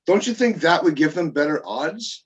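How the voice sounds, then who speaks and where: A man's voice: fairly high pitch, lively intonation, moderate pace, slightly rough. A man hosting a casual sports podcast over a video call, leading the chat with questions. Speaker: podcast host. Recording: dull lo-fi video call audio.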